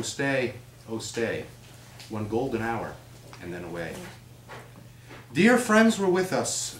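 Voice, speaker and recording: A man recites with theatrical, widely swinging intonation at a middle pitch. He sounds a little away from the mic in an echoey room with faint noise. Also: far from the mic. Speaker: reader at a readers theater event. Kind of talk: expressive live poetry recitation and introduction.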